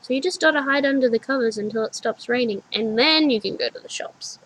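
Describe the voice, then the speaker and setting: A woman voicing a small toddler girl who is earnest and gentle, speaking in simple childlike words. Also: high voice, brisk, pitched above the performer's own voice, low-quality recording. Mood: explaining.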